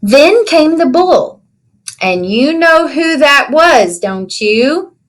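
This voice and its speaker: Female children's read-aloud teacher: high register, very measured pace, animated delivery, chatty asides to young listeners. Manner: animated storytelling, asking children simple questions.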